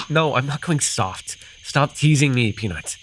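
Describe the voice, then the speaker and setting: A man playing a gruff but caring, easily flustered fantasy forest guide in an immersive ASMR roleplay, speaking hesitantly and soothingly. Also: medium pitch, expressive, measured pace, noisy background, natural voice. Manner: hesitant, soothing, sighing, teasingly defensive.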